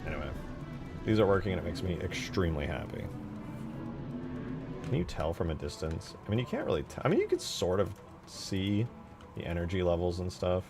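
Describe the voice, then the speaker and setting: A man's voice: low-pitched, sing-song, a little fast, pauses often, over music. A man streaming his game modding work, talking informally and thinking aloud. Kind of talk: casual livestream chat.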